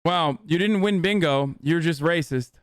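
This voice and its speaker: A man's voice: a little high, no room sound, clean studio sound. Profane sarcastic man streaming. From United States. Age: 30s. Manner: profane, sarcastic, quick banter. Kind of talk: casual live-stream reaction.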